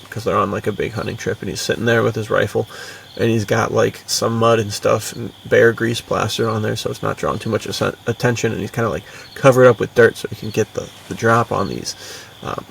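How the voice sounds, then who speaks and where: Very gravelly, slangy male podcaster. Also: on the low side. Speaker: podcast co-host and fiction writer. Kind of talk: casual podcast chat. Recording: distant mic with faint background noise.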